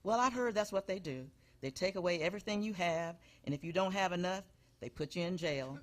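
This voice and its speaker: A man acts out an elderly mother in a stage radio comedy, pitched high. The figure is anxious and martyred, with a folksy way of laying on guilt. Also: a little fast, a bit bright. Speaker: stage performer in a benefit show. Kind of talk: live comedic radio-show acting.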